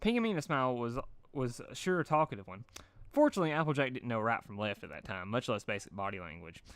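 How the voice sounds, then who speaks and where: A man's voice: mid-range voice, expressive, fast talker, clear, resonant tone, no echo. A man reading a story aloud informally, stumbling and rereading phrases now and then. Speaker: fan fiction reader.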